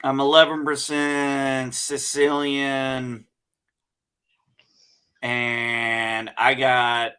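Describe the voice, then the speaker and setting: A man chatting casually as a podcast co-host, rambling slowly through stories with many restarts. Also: medium pitch, gravelly, pushed and bright, clean tone. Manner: slow, rambling storyteller with restarts. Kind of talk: casual chat.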